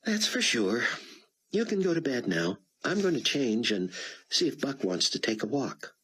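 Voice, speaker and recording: A man voicing a tearful, apologetic young woman in an audiobook, speaking softly and in near-whispers. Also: mid-range voice, big pitch swings, normal speed, husky, voice pushed up. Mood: teasing. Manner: soft, whispery, teary. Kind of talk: audiobook character voice.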